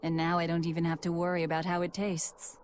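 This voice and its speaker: An actress playing a cold, guarded young female demon fighter with a curt, deadpan manner in a video game. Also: lowish voice, fast, a little hiss or hum, close to an everyday voice. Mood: bitter, resigned. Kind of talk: English video game voice acting.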